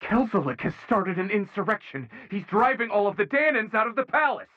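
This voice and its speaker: A man's voice: sits high, lively intonation, a little fast. A man voicing an urgent, loyal young soldier in a video game drama, earnest and agitated, with slightly dull sound.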